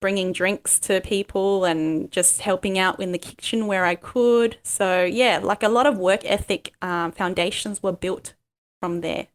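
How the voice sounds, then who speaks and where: Halting, reflective woman. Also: mid-range voice, fairly even intonation. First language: Cantonese. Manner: halting, many pauses and ums, reflective. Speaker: guest, life coach. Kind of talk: candid personal interview.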